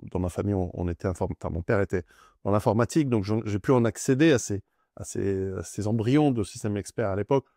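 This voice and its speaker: A man speaks with a low voice, gravelly at the edges, and an Italian accent. He is a native Italian speaker talking as a guest in a studio conversation, thinking aloud with many restarts. The sound is close and dry. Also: warm tone, dry recording. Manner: thoughtful, halting, many restarts and fillers. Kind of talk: conversational discussion.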